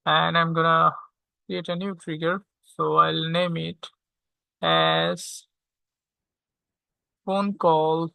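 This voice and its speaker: A tutorial instructor walking through software step by step, often checking in with a short questioning tag. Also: mid-range voice, creaky, no room sound, poor audio. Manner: checks understanding with frequent "okay?".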